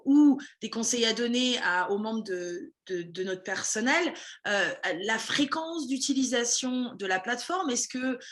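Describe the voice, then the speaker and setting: A woman's voice: a little high, lively intonation, a little slow, fairly bright tone. A woman running a language school, chatting casually in an interview with many fillers and restarts.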